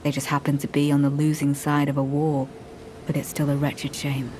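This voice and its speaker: Low-voiced, devout knight played by a woman. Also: completely flat delivery, darkish tone, slight background noise, natural voice. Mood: composed, courteous. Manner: measured, formal, archaic phrasing. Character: solemn, devout female knight, courteous yet coldly zealous. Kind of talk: scripted video game dialogue.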